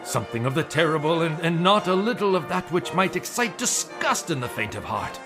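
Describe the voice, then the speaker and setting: An actor playing an old, wary courtier in an audio drama, speaking in a formal, ominous manner. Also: male voice, a little high, big pitch swings, over music. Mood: disapproving. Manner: formal, ominous, deliberate wording. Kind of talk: theatrical audio drama acting with narration.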